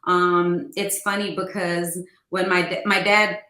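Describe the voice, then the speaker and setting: Interview guest, woman born in Guyana, chatty storyteller with a bright tone in a roomy space. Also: fairly low pitch, little pitch movement, a little slow. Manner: warm storytelling with fond anecdotes. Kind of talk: casual interview.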